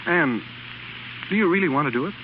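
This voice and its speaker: A man's voice: a little high, animated, fairly quick, natural voice. A man acting a proud, stubborn, exasperated young husband in a 1930s radio drama. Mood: incredulous. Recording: muffled, hissy 1930s radio recording.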